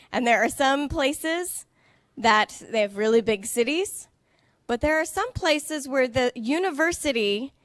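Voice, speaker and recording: A woman giving a slow, patient presentation to students, checking they understand as she goes. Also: fairly high pitch, no echo. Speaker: international student services director. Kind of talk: slow, simple presentation for English learners.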